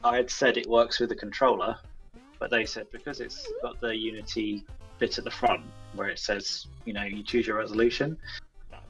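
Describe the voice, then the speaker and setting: A man's voice: fairly low pitch, flat delivery, normal speed, fairly bright tone, slightly rough, faint music behind, poor audio. A man speaking as a game developer guest, explaining things step by step in an informal, understated interview style. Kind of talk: casual explanatory interview chat.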